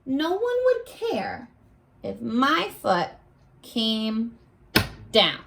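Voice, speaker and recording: A bossy storybook kid as a woman reads the part, talking down to someone tiny. The delivery is slow and emphatic, with taunting stretched words and big swings in pitch. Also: wildly swooping pitch, gravelly, a bit bright, a little hiss or hum, full character voice. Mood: scornful. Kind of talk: picture book read-aloud for young children.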